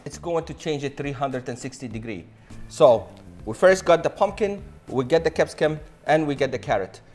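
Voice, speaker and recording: A man in his thirties presenting a cooking demonstration as a chef, with an enthusiastic, showy manner. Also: a little high. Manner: enthusiastic, showy, addresses audience as a showman. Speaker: chef hosting a cooking show. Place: indoor modern studio kitchen. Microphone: lapel mic.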